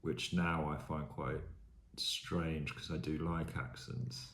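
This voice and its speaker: A man in his fifties from Staffordshire with a mild Birmingham accent, answering interview questions in a thoughtful, measured way with pauses. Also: a bass voice, fairly even intonation, fairly bright tone. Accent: mild Birmingham (Brummie). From Staffordshire, England. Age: 50s. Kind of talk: reading word lists and answering interview questions. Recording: roomy echo.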